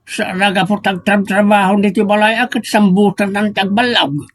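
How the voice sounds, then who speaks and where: A man playing a cranky, blustering old village father with an Ilocano accent in a comedic audio drama, husky and argumentative. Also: sits high, steady tone, normal speed, a bit bright, no echo. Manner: argumentative, exclamatory.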